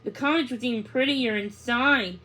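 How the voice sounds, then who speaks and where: A woman narrating a children's book aloud, reading haltingly with stumbles and repeated words. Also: a little high, a bit bright, low-quality recording.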